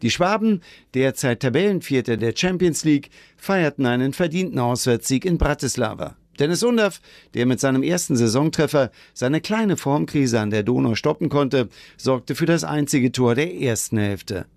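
A man's voice: medium pitch, lively intonation, moderate pace, a little mellow, no echo. Male sports reporter, very dry sound.

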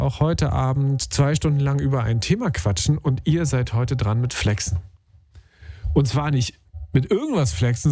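A man's voice: medium pitch, a little mellow. A man hosting a call-in radio show, talking in an upbeat, slangy, chatty way with frequent filler sounds, from a radio studio. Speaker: radio host. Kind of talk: casual call-in radio talk. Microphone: studio microphone.

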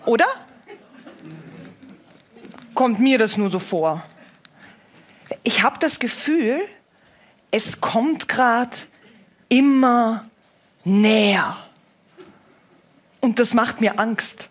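Female cabaret comedian, thirties, light Viennese accent, higher voice, unhurried monologue delivery. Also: expressive. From Vienna, Austria. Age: 30s.

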